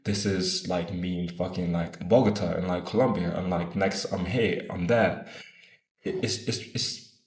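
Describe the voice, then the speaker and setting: A man's voice: low voice, steady tone, normal speed, echoey room. A man in his twenties talking casually and earnestly to camera, rambling a bit, asking "right?" and swearing now and then. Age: 20s. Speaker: vlogger. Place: room in Bogota.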